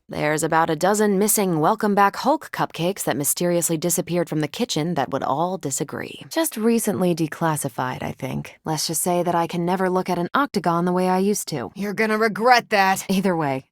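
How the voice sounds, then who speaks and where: A woman's voice: on the low side, no echo, studio quality, lightly acted. An actress playing a confident, friendly superhero in a video game, casual and wry in her delivery. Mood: wry, conversational. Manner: casual, wry, self-assured. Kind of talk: video game character voice lines.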